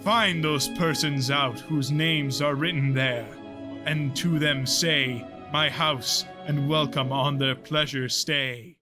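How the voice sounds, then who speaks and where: A man playing a genial, stately old lord and father, measured and declamatory, in a Shakespearean audio play. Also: a little high, steady tone, over music. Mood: welcoming, expansive.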